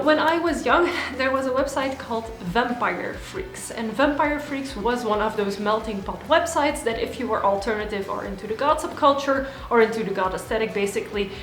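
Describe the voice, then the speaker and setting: A woman's voice: a little high, fairly bright tone. Woman vlogging in an echoey room. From Belgium. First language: Dutch. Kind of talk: personal monologue to camera.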